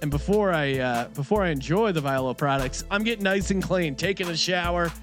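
A man's voice: on the high side, fairly quick, a bit bright, faint music behind. A man hosting a casual podcast chat, upbeat and laughing easily. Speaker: podcast host.

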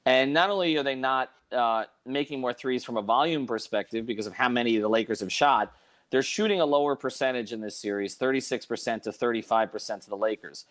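A man hosting a casual sports discussion, thinking aloud with halting, repeated words as he puts questions to a panel. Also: mid-range voice, fairly bright tone. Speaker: host of a sports podcast panel.

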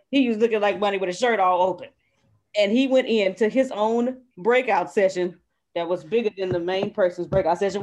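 A woman on a group video call tells a story in a chatty, slightly halting way. Her voice sits at an ordinary mid pitch, and she speaks through a computer mic on the call. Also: a little mellow. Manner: chatty, halting, laughs while recounting. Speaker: meeting attendee.

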